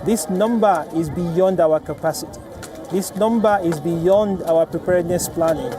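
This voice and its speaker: A man's voice: a little high, a little slow, over music. A man, an aid official, giving a formal and factual statement outdoors.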